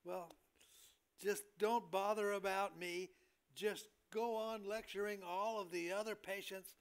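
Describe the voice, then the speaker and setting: An older man playing a flustered, put-upon hospital patient in an amateur play reading, stammering through halting protests. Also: high-pitched, steady tone, vocal fry, smooth. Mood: indignant.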